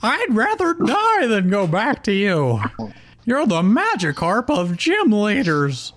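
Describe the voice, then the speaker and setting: A haughty female sea creature acted by a man, pitched very high and drawn out. She sneers each phrase with open contempt. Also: huge pitch swings, takes it slowly, a bit bright, exaggerated voice. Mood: sneering scorn. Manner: sneering, emphatic. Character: scornful, proud female starfish creature rejecting her trainer.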